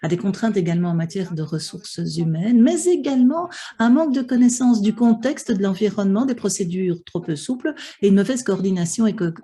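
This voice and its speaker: A woman's voice: medium pitch, animated, fairly quick, dark tone, slightly rough. A woman interpreting a conference panel live, with many hesitations and restarts. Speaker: simultaneous interpreter for an online panel.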